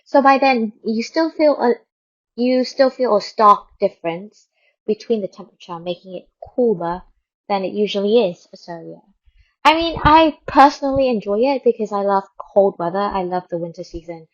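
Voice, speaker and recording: A woman from Thailand presents a radio update in a casual, conversational style. Her voice sits at a middling pitch, and she rambles a little, repeating words to stress them. The audio is somewhat dull and lo-fi. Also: lively intonation, moderate pace. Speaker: radio host.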